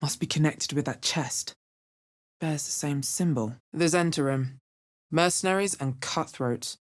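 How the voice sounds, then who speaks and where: A woman playing a wary, wry female adventurer in a fantasy video game, with a bassy, husky voice and a measured, understated delivery. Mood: wary, musing. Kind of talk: video game character voice lines.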